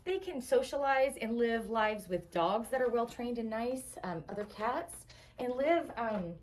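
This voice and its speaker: High-voiced woman guiding kids, slightly distant mic. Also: animated, measured pace. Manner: enthusiastic, simple child-directed explaining. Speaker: animal shelter guide. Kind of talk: educational virtual tour for children. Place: indoor animal shelter rooms. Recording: distant mic, faint background noise.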